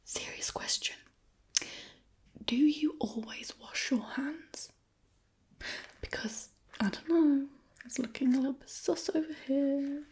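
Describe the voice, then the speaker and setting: Slow whispering woman, ASMR roleplay. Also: high-pitched, a little mellow. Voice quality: breathy whisper. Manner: mock-scolding, fussy, deliberate. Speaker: ASMR creator playing a screen cleaner.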